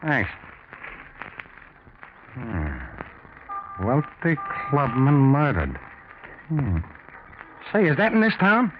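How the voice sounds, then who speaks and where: An actor playing a chatty, grumbling streetwise hobo with folksy slang, in 1940s radio drama style. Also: male voice, mid-range voice, extremely slow, long pauses. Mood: friendly, curious. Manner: folksy slangy talk, animated. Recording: muffled old radio recording with faint noise.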